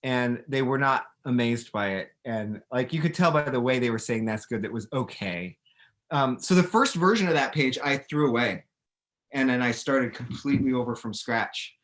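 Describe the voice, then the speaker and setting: A middle-aged man with a mid-range voice speaks as an author taking questions. He explains things informally, thinking out loud and circling back to restart his phrases as he goes. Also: medium pitch, normal speed. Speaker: author and illustrator answering questions. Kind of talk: casual chat, explaining to students.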